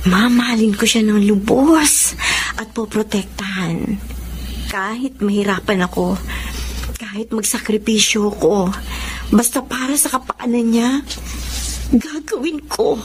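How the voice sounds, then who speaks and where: A woman's voice: on the high side, a little hiss or hum. A woman playing a loving, cheerful pregnant wife in a radio drama. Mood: devoted, earnest. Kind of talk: Tagalog radio drama acting.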